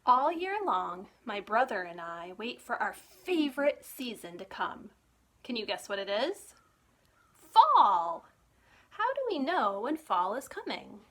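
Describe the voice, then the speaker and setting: Animated, high-voiced woman reading to children. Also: a little slow. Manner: animated, expressive, asks the young listeners questions. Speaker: children's librarian reading a picture book aloud. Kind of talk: read-aloud storytime for young children.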